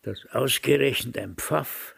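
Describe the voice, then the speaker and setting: Gravelly, grumbling old cynical man. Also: mid-range voice, a little slow, a bit bright, full character voice. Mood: bitter, fatalistic. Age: older. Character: cantankerous old father, fatalistic, cynical, grumbling. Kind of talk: German radio drama acting.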